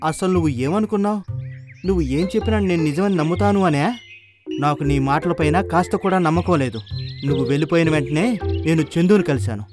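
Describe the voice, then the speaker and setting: A man's voice: a little high, fast, over music, close to an everyday voice. A man voicing an earnest, indignant bull in a cartoon moral story. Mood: defiant.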